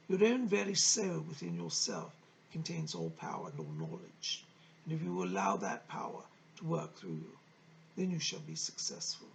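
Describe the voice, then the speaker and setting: A woman voicing a solemn, wise male spirit teacher, giving formal, measured and earnest spiritual guidance as if channelled. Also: low-pitched, gravelly, a bit bright, heavily acted, poor audio.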